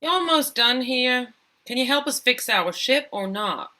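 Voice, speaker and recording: A woman's voice: high-pitched, lively intonation, a little slow, bright, forceful tone, heavily acted. A woman playing a tough, sassy alien mother rat in a comedy script read, brash, slangy and challenging. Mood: brash, needling.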